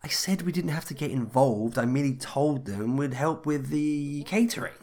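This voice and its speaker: A man's voice: fairly high pitch, moderate pace, a little mellow, natural voice. A man acting an anxious, eager-to-please, social-climbing husband in a comedy audio drama, hesitant and stammering. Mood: defensive.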